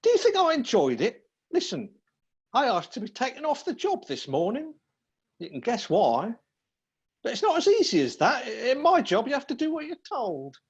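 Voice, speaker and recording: A woman's voice: a little high, huge pitch swings, normal speed, a little mellow, breathy edge, full character voice. A woman in a radio play voicing an earnest, apologetic male police detective sergeant. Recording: dull lo-fi streamed audio.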